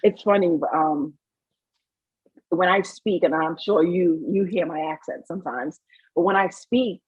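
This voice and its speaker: A woman's voice: fairly low pitch, unhurried, darkish tone, breathy edge, no echo, dull audio. A middle-aged woman from Saint Lucia with a New York accent and a hint of Caribbean, a basketball coach chatting in an interview, repeating words as she starts her sentences. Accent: New York with a light Caribbean lilt. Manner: repeats words when starting, laughs easily. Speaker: basketball coach and player's mother. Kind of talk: casual interview talk.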